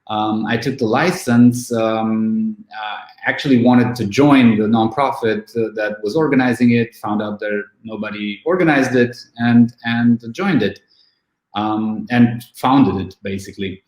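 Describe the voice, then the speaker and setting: Man in his thirties, event organizer, halting speech full of ums, reverberant room sound. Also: on the low side, a little mellow. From Romania. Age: 30s. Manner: halting, many fillers and restarts. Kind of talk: casual online interview. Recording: echoey room.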